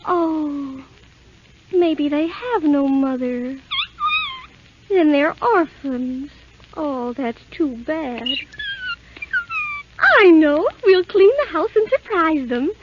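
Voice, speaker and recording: An actress playing a gentle, sweet young princess in a 1930s radio drama. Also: female voice, sits high, extremely slow, long pauses, background noise. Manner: soft, sweet, wondering. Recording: dull old radio broadcast with music.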